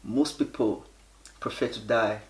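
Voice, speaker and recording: A man's voice: mid-range voice, measured pace, reverberant, poor audio. A man hosting a casual interview, asking long, stumbling questions and nodding along with short agreements. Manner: encouraging, many short yeahs, stumbling questions. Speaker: host, interviewer.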